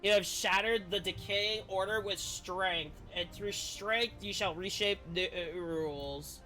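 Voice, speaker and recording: A man with a high-pitched, bright voice plays through a story game for viewers. He reads and comments as a streamer would, with faint game music behind him. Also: poor audio. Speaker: game streamer reading quest dialogue aloud and reacting. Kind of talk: let's-play commentary with read-aloud narration.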